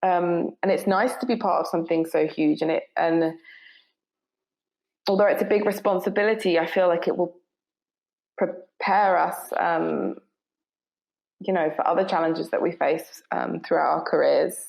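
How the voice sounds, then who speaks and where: A woman's voice: fairly low pitch, steady tone, normal speed, vocal fry, long pauses, a little mellow, low-quality recording. A woman in her twenties with a southern English accent, talking casually and reflectively as a podcast guest. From south of England. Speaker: podcast guest, newly qualifying nurse. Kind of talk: casual reflective interview talk.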